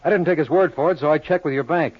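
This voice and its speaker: An actor playing a brash, wisecracking, needling sleuth in a 1950s radio crime drama. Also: male voice, on the high side, quick pace, natural voice. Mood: sly, accusing. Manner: glib, sardonic, hard-boiled. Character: brash wisecracking male private lawyer-sleuth, cocky and needling. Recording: dull lo-fi old radio recording.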